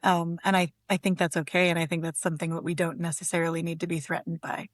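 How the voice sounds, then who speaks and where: A woman's voice: on the low side, flat delivery, quick pace, dry recording, studio quality. A woman speaking casually as a poet guest in an interview, reflective and tentative, with a self-amused way of talking.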